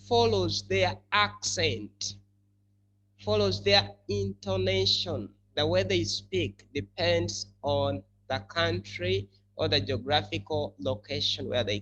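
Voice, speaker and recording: Male English teacher, slow deliberate explainer, forceful bright tone, repeats key words for learners. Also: a little high, lo-fi sound, a little hiss or hum. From country formerly colonized by the UK. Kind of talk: classroom lesson on English.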